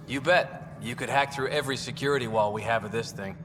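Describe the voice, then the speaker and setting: Man acting a spaceship tech guy, blunt, jittery, medium pitch, game dialogue, echoing, music behind. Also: brisk, lightly acted. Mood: indignant, eager. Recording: reverberant, with loud music behind.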